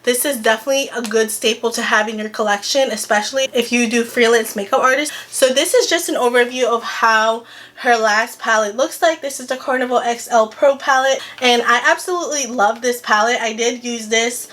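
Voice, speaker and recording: Chatty, gushing young woman, slightly raspy. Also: fairly high pitch, a little fast, fairly bright tone. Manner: chatty and gushing, frequent "like" and "girl". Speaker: beauty vlogger. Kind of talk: casual makeup review.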